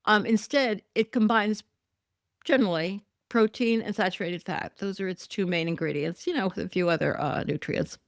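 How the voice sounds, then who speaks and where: Woman, podcast host, chatty and wry, slightly worn voice, mid pitch, dry close sound. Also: normal speed, no room sound. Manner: chatty, wry, frequent ums and self-corrections. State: losing her voice. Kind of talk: casual solo commentary podcast.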